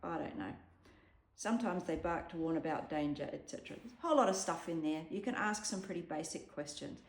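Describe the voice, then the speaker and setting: A woman's voice: low voice, animated, brisk. A woman casually walking through an app demo at home, chatty and explanatory with frequent ums. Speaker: app tutorial presenter. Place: home room with a dog around. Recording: echoey room.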